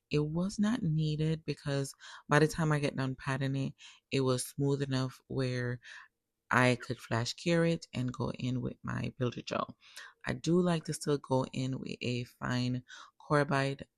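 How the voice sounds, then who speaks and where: Tired woman with a low, gravelly voice. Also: a little slow, no echo, clean studio sound. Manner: relaxed step-by-step explaining, addresses viewers as guys. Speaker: nail technician. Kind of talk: tutorial voice-over. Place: recorded separately as a voice-over.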